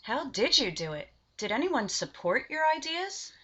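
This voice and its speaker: A woman's voice: a little high, very animated, normal speed, fairly bright tone, breathy edge, muffled recording. A woman acting as interviewer, reading formal, admiring questions in a scripted interview.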